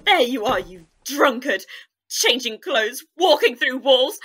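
A woman's voice: high voice, lively intonation, takes it slowly, background noise. An actress playing a dramatic, fluttery woman in a dubbed film comedy, with melodramatic delivery. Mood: jealous, scolding.

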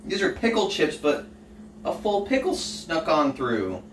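A man's voice: on the high side, big pitch swings, measured pace. Young man, casual cooking vlogger, offhand and chatty, picked up from across an echoey kitchen. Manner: chatty, offhand, lots of fillers. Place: home kitchen. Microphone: camera on the counter, across the kitchen. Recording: roomy, distant camera audio with noise.

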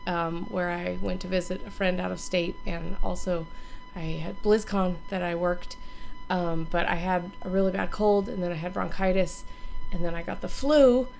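Hoarse, gravelly woman gaming vlogger. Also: lowish voice, fairly even intonation, moderate pace, darkish tone, faint music behind, rough recording. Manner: rambling, many ums and restarts. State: hoarse, recovering from illness. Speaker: gaming streamer and YouTuber. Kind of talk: casual channel update to camera. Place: home gaming room, indoors, small.

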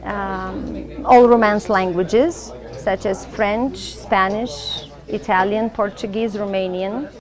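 A middle-aged woman with a Bulgarian accent, a Latin teacher answering interview questions in a classroom in a thoughtful, measured way. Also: mid-range voice, steady tone. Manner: thoughtful, measured, with soft fillers.

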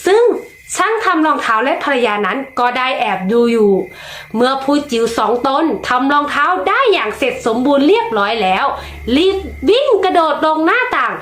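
A woman reads a children's fairy tale in a high, bright register, her pitch rising and falling in a lilting storyteller's way. The reading is unhurried and expressive. The room around her adds a noticeable echo.

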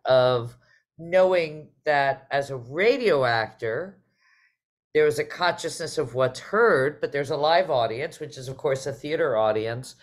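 A middle-aged woman hosts a panel conversation over a video call. She is enthusiastic and poses her questions in a conversational way. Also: low voice. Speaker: host, theatre artistic director. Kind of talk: conversational interviewing.

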